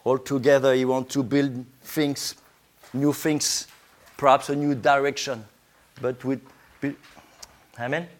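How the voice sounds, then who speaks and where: A man with a French accent, a native French speaker, preaching informally in halting English as a guest preacher. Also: mid-range voice, little pitch movement. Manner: halting, searching for words in English. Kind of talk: informal sermon to a congregation.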